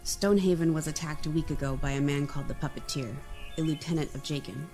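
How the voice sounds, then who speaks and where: A dry-humored young prince, acted by a man, with a mellow, warm tone. He speaks in a calm, measured way over faint background music. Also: fairly high pitch, close to an everyday voice. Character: young male prince, calm and dryly sarcastic. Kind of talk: audio drama acting.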